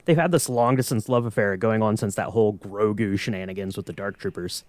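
A man's voice: fairly low pitch, a little fast, darkish tone, dry recording. A man co-hosting a podcast, chatting casually and playfully in mock-serious fan banter. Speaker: podcast co-host.

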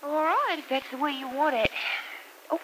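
An actress playing a kindly, practical woman friend in a mid-century radio drama, brisk and gently wry. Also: high-pitched, lively intonation, low-quality recording. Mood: helpful. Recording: old radio recording with faint hiss.

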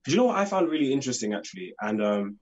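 A man's voice: medium pitch, big pitch swings, racing, a little mellow, lo-fi sound. A young man with a London accent, chatting eagerly as an actor guest in an interview, leaning on "like" as he talks. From United Kingdom. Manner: chatty, fills talk with "like", eager. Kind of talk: casual interview chat.

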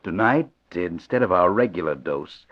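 An actor playing a scheming doctor in a 1940s radio drama, cold and conspiratorial. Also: male voice, low-pitched, expressive, normal speed. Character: scheming male doctor plotting with accomplice. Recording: dull lo-fi old radio recording.

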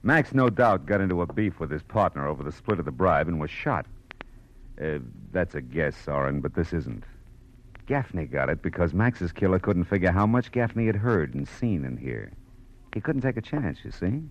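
An actor playing a tough, shrewd private detective in a classic radio drama, with a wry, clipped, slangy delivery. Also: male voice, deep voice, animated, normal speed. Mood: coolly confident. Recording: muffled noisy old radio recording.

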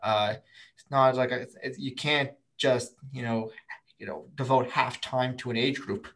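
A man's voice: medium pitch, steady tone, unhurried, a bit bright. A man speaking as a guest on a podcast, thinking aloud with frequent restarts. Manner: thoughtful, lots of fillers and restarts. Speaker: guest, prospect writer. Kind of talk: casual podcast interview.

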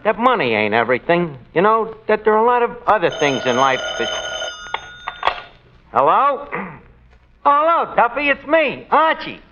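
A man's voice: high voice, sing-song, normal speed, pauses often. An actor playing a wisecracking middle-aged tavern manager in a 1940s radio sitcom, mangling words and chuckling at his own jokes. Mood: joking. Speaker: radio comedy lead. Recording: hissy old lo-fi radio recording.

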